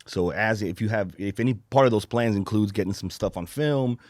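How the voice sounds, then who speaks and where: A man from Brazil with a low voice, talking at a quick clip on a podcast. He explains things informally in long runs, like a comedian chatting with colleagues. The sound is dry and close. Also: darkish tone, no echo. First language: Portuguese. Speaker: podcast host and stand-up comedian. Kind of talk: casual podcast chat.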